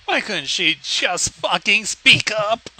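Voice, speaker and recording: Male heckler co-host, very bright forceful voice, higher-pitched, blunt and sweary. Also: expressive, takes it slowly. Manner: blunt, profane, sarcastic. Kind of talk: casual sarcastic commentary.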